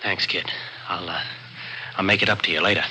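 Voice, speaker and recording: Nervous slick gambler, sharp-voiced. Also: male voice, low-pitched, steady tone, fairly quick, low-quality recording. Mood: uneasy. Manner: wheedling, slangy, evasive. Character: nervous slick male small-time gambler. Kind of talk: 1940s radio crime drama acting. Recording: hissy old radio recording.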